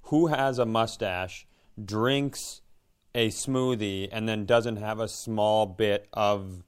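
A man's voice: on the low side, fairly even intonation, takes it slowly, smooth. An American man chatting and telling funny stories casually as a podcast co-host. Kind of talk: casual comedic chat and storytelling.

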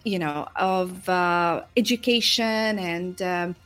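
A woman's voice: fairly low pitch, takes it slowly, a bit bright, clear, resonant tone, faint music behind. A woman from Jordan telling her personal story as a guest in a live interview, with hesitant, reflective phrasing. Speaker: podcast guest, entrepreneur. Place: live remote video call.

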